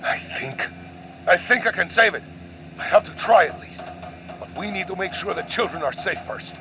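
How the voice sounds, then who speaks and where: A man's voice: expressive, moderate pace. A man playing a brave, devoted father and pilot in a radio play, earnest, dramatic and tender. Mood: urgent, determined. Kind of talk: audio drama acting. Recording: muffled, faint vehicle rumble.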